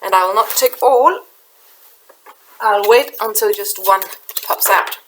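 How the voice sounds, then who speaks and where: A middle-aged woman from Denmark with a Danish accent, speaking English softly at her webcam, halting and repeating words as she gropes for them. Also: medium pitch, a little hiss or hum, rough recording. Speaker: spiritual channeler. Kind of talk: casual spiritual vlog talk. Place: small room indoors. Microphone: webcam in front of her.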